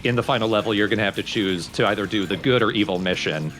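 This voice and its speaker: A man's voice: on the low side, fast, fairly bright tone, faint music behind. A man hosting a let's play, explaining the game in a casual, wry way.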